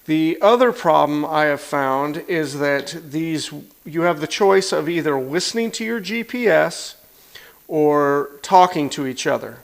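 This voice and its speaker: Male product reviewer, slightly high pitch with heavy fry, blunt and plain-spoken, casual demo delivery. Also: expressive, a little slow. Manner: plain-spoken, blunt, demonstrating while talking. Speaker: product reviewer, motorcycle channel host.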